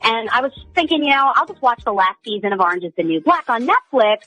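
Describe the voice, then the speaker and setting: A woman's voice: a little high, sing-song, fast talker, sharp tone, soft background music, poor audio. A woman calling in to a radio show, telling her story in a casual, conversational way.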